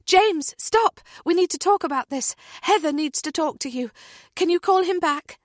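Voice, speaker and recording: A woman voicing a caring, take-charge friend who speaks briskly and reassuringly. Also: high-pitched, no echo, studio quality, voice pushed up, close to an everyday voice. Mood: urgent.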